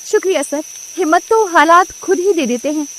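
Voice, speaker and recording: A woman voicing a confident young woman who sells samosas and speaks calmly about her work in a storybook cartoon. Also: very high-pitched, fairly quick, a little hiss or hum, pitched above the performer's own voice. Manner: calm, self-assured.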